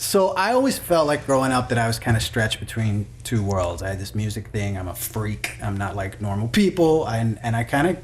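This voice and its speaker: A man's voice: lowish voice, big pitch swings, fairly quick, hardly any pauses, a little hiss or hum. A man from Kentucky with an American accent, chatting casually and circling around his questions as an interviewer. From Kentucky, United States. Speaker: interviewer in a conversation with musicians. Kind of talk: casual chat.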